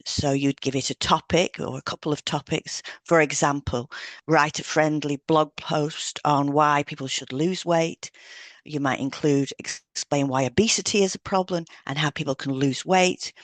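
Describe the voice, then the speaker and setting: A woman's voice: low-pitched, fairly even intonation, moderate pace, no echo. Woman explaining, close dry sound. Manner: explanatory, instructional. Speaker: podcast co-host, hypnotherapist. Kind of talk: scripted podcast narration with informal asides.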